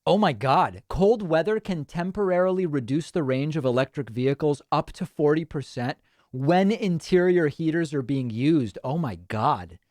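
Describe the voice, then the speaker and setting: A man's voice: a little high, lively intonation, moderate pace, a little mellow, dry recording. A man hosting a casual livestream, chatting and thinking aloud as he reads out viewer comments on a close microphone. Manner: chatty, thinking aloud with restarts and small laughs. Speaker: host.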